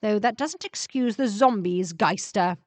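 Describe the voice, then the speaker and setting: A woman's voice: mid-range voice, a little slow, a little mellow, no room sound, natural voice. A woman voicing a proud, somewhat arrogant male vampire professor who explains things emphatically in a sci-fi story. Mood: reluctant.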